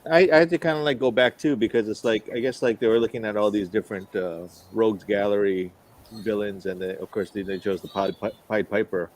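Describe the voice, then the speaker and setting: A man with a fairly low voice talks casually as a podcast co-host. He rambles and hedges, feeling his way through his thoughts in an informal chat. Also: fairly even intonation, a little fast. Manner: rambling, hesitant, hedging.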